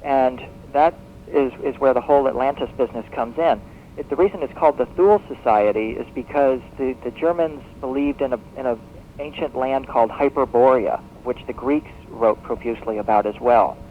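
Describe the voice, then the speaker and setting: A man speaking as a guest expert in a radio interview, explaining in a halting, expository way and repeating words as he goes. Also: medium pitch, narrow, tinny audio. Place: radio broadcast, taped off air. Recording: thin, noisy shortwave radio broadcast on cassette.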